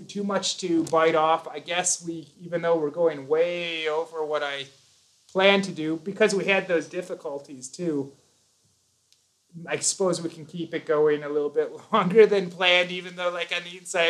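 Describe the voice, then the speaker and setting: A man's voice: on the high side, a bit bright, rough recording. A man hosting a casual live stream, reading out viewer comments and answering them in a rambling, joking way. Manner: chatty, rambling, self-correcting, jokey.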